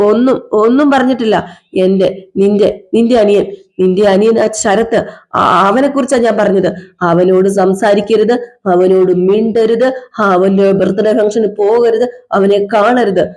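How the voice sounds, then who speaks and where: A woman acting out a drunk husband, bitter, sarcastic, repeats himself, dark mellow tone, mid pitch. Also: steady tone, close to an everyday voice. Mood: scolding. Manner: accusing, repetitive, slow sarcastic drawl. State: drunk (as acted). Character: drunk, bitter middle-aged husband, self-pitying. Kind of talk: one-person domestic drama skit.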